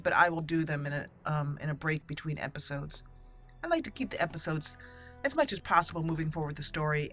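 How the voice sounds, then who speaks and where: A woman's voice: sits low, a bit bright. A woman hosting a game playthrough with casual commentary, chatting and thinking aloud as she goes. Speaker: gaming channel host. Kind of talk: casual let's play commentary.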